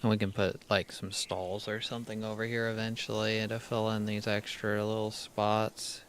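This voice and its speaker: A man's voice: lowish voice, little pitch movement, moderate pace. A man streaming a game live, thinking aloud as he plays, half-muttering his plans, with a crackly voice from a dry throat. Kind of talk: casual live gameplay commentary.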